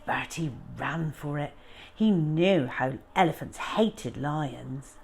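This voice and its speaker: A woman reading a children's story aloud, moving between informal chat and expressive narration as a storytime host. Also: deep voice, takes it slowly, gravelly, slightly rough.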